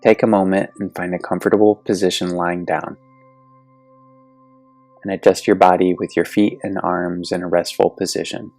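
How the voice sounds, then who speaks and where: A man gently and soothingly guiding a yoga nidra meditation, speaking deliberately with long pauses. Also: low voice, level tone, dry recording. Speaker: guided meditation instructor. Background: soft meditation music.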